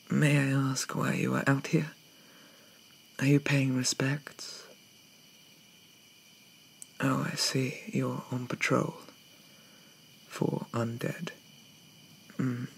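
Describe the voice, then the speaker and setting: A man playing a shy, gentle, polite Victorian zombie in a soft-spoken ASMR roleplay, stammering and hesitant with old-fashioned courtesy. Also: mid-range voice, creaky, slight background noise, low-quality recording.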